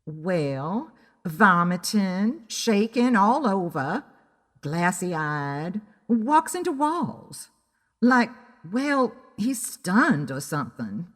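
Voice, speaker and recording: A woman voicing a flighty, excitable, melodramatic female client in an audio story. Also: mid-range voice, drawn-out words, long reverb tail, full character voice. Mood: fretful.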